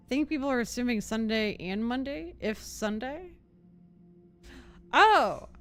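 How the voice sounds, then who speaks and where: A woman's voice: a little high, animated, a little slow, a bit bright, slight background noise. A woman live-streaming a game, chatting casually with viewers in a giggly, reactive manner. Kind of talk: casual live gameplay chat. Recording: game music behind the voice. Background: video game music.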